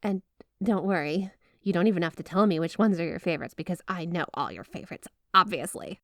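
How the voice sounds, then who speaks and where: A woman's voice: on the low side, brisk, a bit bright, no echo. Woman acting a gushing, obsessive snow spirit wife, close-up. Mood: doting, coy. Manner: gushing, coy, playfully suggestive. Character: flirty, obsessive yandere snow spirit wife, sweetly doting. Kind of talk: ASMR roleplay addressed to the listener.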